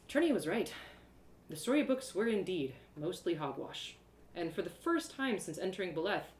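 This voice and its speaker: A woman voicing a plucky young girl adventurer who narrates her own journey in an expressive, colloquial storybook style. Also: mid-range voice, roomy sound, lightly acted. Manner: expressive, colloquial, with exclamations and dramatic pauses. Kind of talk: animated first-person storybook narration, live one-woman audio drama.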